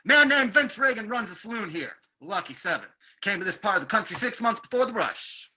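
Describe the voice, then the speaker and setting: An actor playing a terse field informant reporting by shortwave in an old-time radio drama, clipped and urgent with a rough, husky voice. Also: male voice, sits high, sing-song, a little fast, bright, forceful tone, full character voice, low-quality recording.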